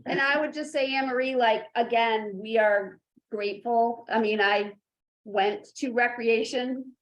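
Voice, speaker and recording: A woman's voice: fairly high pitch, steady tone, unhurried, poor audio. Middle-aged board lady rambling kinda earnest at a meeting. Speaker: selectboard member. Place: town meeting room.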